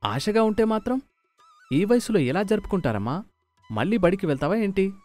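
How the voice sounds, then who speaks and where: A man's voice: sits high, big pitch swings, normal speed, a little mellow, dry recording, pitched above the performer's own voice. A man voicing a good-natured, joking grown son in a cartoon moral story. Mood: teasing. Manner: joking, mocking. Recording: music behind the voice.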